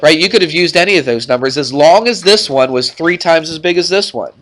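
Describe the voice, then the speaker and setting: A man's voice: fairly high pitch, lively intonation, brisk, fairly bright tone. A man with a stuffed-up nose teaching math to a class, talking informally and checking in with frequent questions. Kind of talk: classroom worked-problem lesson.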